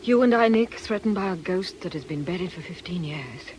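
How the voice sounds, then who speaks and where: A woman's voice: fairly low pitch, lively intonation, a little fast, a little mellow, a little hiss or hum, lightly acted. An actress playing a bitter, mocking middle-aged witch in a theatrical radio drama manner. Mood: brooding menace.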